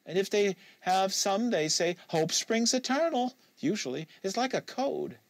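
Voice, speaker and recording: A man voicing a depressed, anxious young woman confessing haltingly, as an audiobook character. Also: high voice, big pitch swings, no room sound, voice pushed up, heavily acted. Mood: rambling, agitated. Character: depressed anxious young woman, pot-addicted psychiatric patient.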